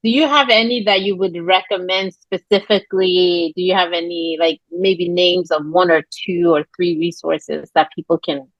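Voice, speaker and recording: A woman's voice: fairly low pitch, steady tone, normal speed, hardly any pauses, dry recording, dull audio. A woman hosting a podcast who asks rambling, casual questions with many fillers. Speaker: podcast host. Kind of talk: podcast interview.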